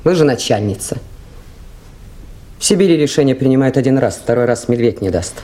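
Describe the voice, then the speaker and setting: A woman's voice: rumbling low, lively intonation, brisk, mellow. An actress playing a stubborn, plain-spoken river woman in a radio play, with a blunt, dry, defiant delivery. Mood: defiant, challenging. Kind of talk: 1980s radio play acting. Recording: faintly noisy 1980s radio drama recording.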